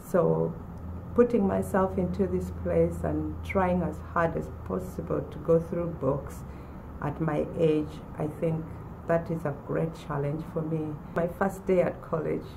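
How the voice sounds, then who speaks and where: A woman in her forties from Uganda, telling her own story in a measured way with pauses. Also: sits low, fairly even intonation, creaky, noisy background. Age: 40s. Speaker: former college student. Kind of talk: personal testimonial to camera.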